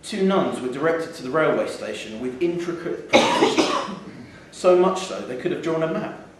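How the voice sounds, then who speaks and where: A man reading a short story aloud in a measured, wry storytelling manner, live in a theatre. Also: on the high side, expressive. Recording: echoey theatre hall, distant mic, faint noise.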